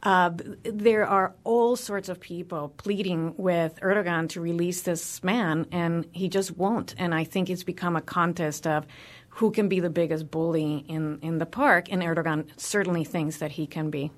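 Passionate Cuban American woman, lowish voice. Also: steady tone, moderate pace. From United States. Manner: passionate, emphatic. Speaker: guest, religious freedom advocate. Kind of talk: radio interview.

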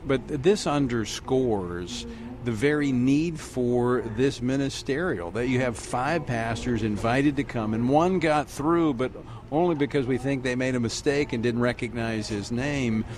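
A man's voice: medium pitch, measured pace. Stammering male news host. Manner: hesitant, repeats words while framing questions. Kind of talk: news interview.

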